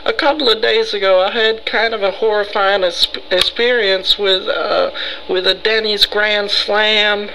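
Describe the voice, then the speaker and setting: High, creaky, frightened rambling man, put on by a prankster. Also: level tone, background noise. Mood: nervous, earnest. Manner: rambling, halting, melodramatic, pleading. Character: frightened, rambling, easygoing man reporting a ghost. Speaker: prank caller. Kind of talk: improvised phone prank.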